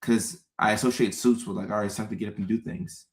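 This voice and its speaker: A man in his thirties from Ghana, hosting a relaxed livestream and chatting with viewers, often repeating his phrases. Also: fairly low pitch, fast. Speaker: host. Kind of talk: casual livestream chat.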